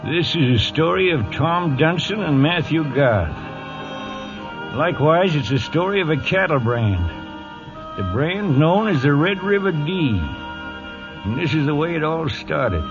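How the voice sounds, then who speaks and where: Folksy old cowhand, muffled vintage radio. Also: male voice, on the high side, lively intonation, a little slow. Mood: wistful storytelling. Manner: rustic, ungrammatical Western drawl. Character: folksy old cowhand sidekick narrating a Western tale. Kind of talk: 1940s radio drama acting. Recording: muffled 1940s radio broadcast with music.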